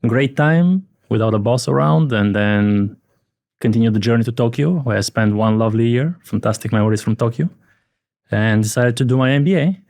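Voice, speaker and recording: A man in his forties, from Bulgaria, talks through his past in a relaxed and wry way on a podcast. His voice sits at a middle pitch. He is recorded close and dry, with no room sound. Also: brisk, gravelly, no room sound. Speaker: podcast guest, advisor. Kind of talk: casual podcast chat.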